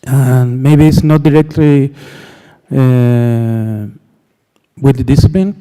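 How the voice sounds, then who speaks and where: A man with a mother tongue speaks slowly and haltingly, stopping often to find his words. His voice is smooth and mellow. The sound carries the long echo of a lecture hall. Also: medium pitch. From Chile. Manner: hesitant, searching for words, many fillers.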